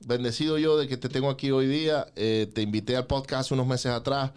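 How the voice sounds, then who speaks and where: A man's voice: mid-range voice, fairly even intonation, a little fast, a little mellow, smooth. Man in his forties hosting a podcast. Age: 40s. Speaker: podcast host. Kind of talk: conversational interview.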